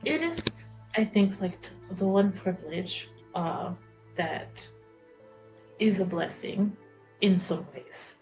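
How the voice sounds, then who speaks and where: A woman's voice: mid-range voice, expressive, takes it slowly, rough recording. A woman playing a tabletop roleplaying game, chatting casually and often breaking off to rephrase. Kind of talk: casual in-character and out-of-character game chat. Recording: background music.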